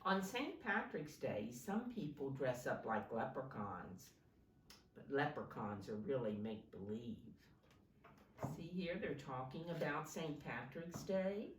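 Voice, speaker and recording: A woman's voice: a bass voice, animated, a little slow. A woman reading a picture book aloud to young children like a teacher, explaining simply and prompting her listeners. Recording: roomy, distant microphone, faint background noise.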